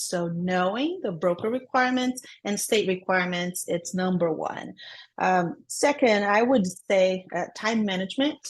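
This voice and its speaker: A woman from Brazil with a Brazilian accent, a guest in a casual interview who talks upbeat and laughs often between her thoughts. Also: on the low side. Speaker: guest, transaction coordinator.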